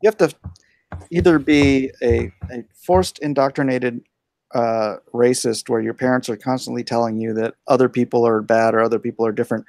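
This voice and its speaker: A man's voice: medium pitch, steady tone, moderate pace, gravelly, darkish tone, dry recording. A man in his forties, born in Hawaii and raised in California, talking casually in a blunt, opinionated way. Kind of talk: casual chat.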